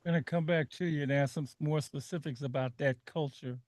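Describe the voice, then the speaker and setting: A man hosting a live radio talk show, halting and full of restarts. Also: on the high side, fairly even intonation, darkish tone, no room sound. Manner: halting, many restarts and fillers.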